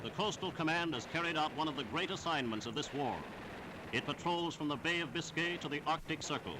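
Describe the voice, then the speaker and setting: A man's voice: on the high side, fairly quick, heavy background noise. A man narrating a scripted 1940s wartime newsreel in a solemn, declamatory manner on a vintage soundtrack. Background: music.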